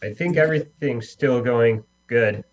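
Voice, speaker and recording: A man's voice: mid-range voice, measured pace. A man, a guest, chatting casually. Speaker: guest actor. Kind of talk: casual chat. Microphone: video call. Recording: dull internet call with hum.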